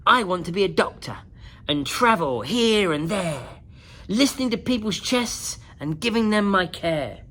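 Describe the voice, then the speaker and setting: A plucky young princess with a kind heart, voiced by a woman reading a storybook. She is well-spoken and unhurried, with a lifted, lively melody. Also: mid-range voice, breathy edge. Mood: firm, determined. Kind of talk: children's storybook character voice.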